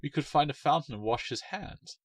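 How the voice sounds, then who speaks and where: A man's voice: a little high, lively intonation, a little fast, no room sound, distant mic, voice pushed up. A man voicing a polite, clever young girl who asks sweetly. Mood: coaxing. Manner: sweet, coaxing.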